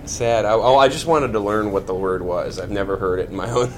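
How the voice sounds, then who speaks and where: A man's voice: lowish voice, fairly quick, slight background noise. A man on a comedy panel, cracking dry jokes. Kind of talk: casual comedic chat.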